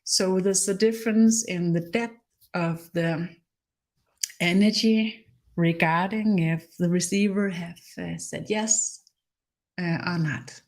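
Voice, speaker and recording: A woman with a Danish accent, her first language Danish, leading a live-streamed spiritual healing session in a halting way, pausing to search for words. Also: on the low side, fairly even intonation, a little mellow. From Denmark. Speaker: energy healer.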